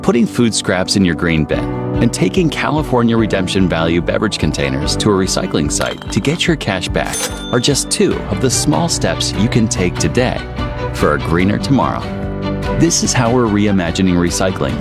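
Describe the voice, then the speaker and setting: A deep-voiced man with a gravelly edge narrates a public service ad. His pitch moves around a lot, and loud music plays underneath. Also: big pitch swings, normal speed. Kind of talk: scripted public service ad read.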